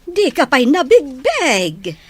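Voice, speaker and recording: A woman's voice: high-pitched, wildly swooping pitch, takes it slowly, heavily acted. A woman playing a blunt, meddling older mother who nags, in an audio drama with an Ilocano Filipino accent. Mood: chiding.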